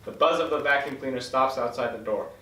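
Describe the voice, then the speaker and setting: A man's voice: medium pitch, a little fast, bright, forceful tone. A man reading screenplay stage directions as scripted narration at a table read. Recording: echoey room from far off, noisy.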